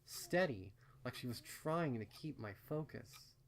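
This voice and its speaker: A man reading a first-person fan fiction story as narrator, in a measured, subdued manner. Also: medium pitch, lively intonation.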